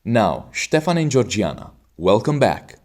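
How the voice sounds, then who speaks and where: A man's voice: lowish voice, very animated, a little slow, darkish tone. A man from Romania, hosting an exam-prep podcast and playing the examiner, in a formal, teacherly way. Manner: articulate, formal teacherly delivery.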